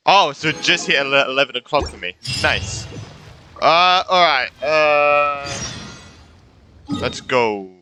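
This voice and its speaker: A man's voice: a little high, expressive, slow pace, long pauses, pushed and bright, faint music behind. A man giving casual, informal let's-play commentary, reacting and muttering as he plays a video game. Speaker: streamer playing a video game.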